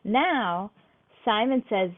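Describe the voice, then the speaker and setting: A woman's voice: fairly high pitch, animated, very slow. A woman leading a game for small children, playfully addressing them directly as she gives instructions. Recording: thin phone-like audio.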